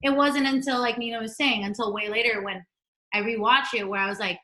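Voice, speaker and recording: A woman's voice: on the high side, fairly even intonation, fairly quick, sharp tone. A woman, a former child actor, chatting casually at a cast reunion on a video call. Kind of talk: casual chat.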